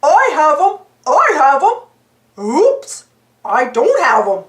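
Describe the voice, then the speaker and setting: A woman voicing a clumsy, dopey male cartoon dog, loud and excitable. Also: extremely high pitch, slow, heavily acted. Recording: roomy echo.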